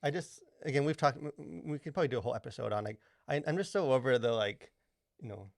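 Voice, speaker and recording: A man's voice: mid-range voice, lively intonation, fast talker, creaky, no room sound, clean studio sound. A man co-hosting a podcast, talking casually in a rambling way, full of fillers and restarts. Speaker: podcast co-host. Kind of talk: casual chat.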